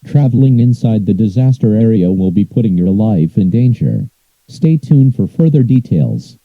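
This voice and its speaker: A man's voice: lowish voice, big pitch swings, normal speed, no echo, narrow, tinny audio. A man reading scripted emergency alerts as an announcer, in a formal, impersonal, synthetic-sounding delivery.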